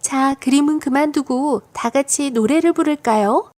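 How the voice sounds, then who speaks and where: A woman's voice: sits high, normal speed, a little mellow, dry recording, lightly acted. A woman voicing a patient but exasperated female schoolteacher in a children's storybook, instructive and coaxing. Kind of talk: children's storybook audiobook acting.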